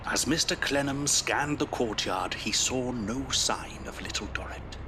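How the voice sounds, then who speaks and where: A male actor as the storyteller of a Victorian audio drama, reading scripted narration in a measured, grave, literary way. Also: mid-range voice, noisy background, lightly acted. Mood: solemn.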